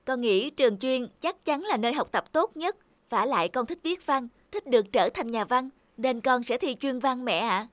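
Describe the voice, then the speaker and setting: A woman voicing an earnest, emotional schoolgirl who dreams of writing, in an animated cartoon. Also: sits high, normal speed, no echo. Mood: eager, determined.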